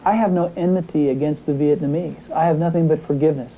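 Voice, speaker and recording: A man's voice: on the high side, fairly even intonation, fast talker, faint music behind. A man being interviewed in a news report, speaking earnestly and plainly. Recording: tinny, phone-like archive news audio.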